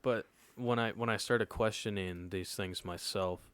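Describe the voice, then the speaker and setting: Deep-voiced nineteen-year-old guy telling a story. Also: steady tone, unhurried, fairly bright tone, clean tone. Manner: laid-back storytelling that often checks in with the listeners. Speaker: podcast co-host. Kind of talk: casual chat, telling a personal story.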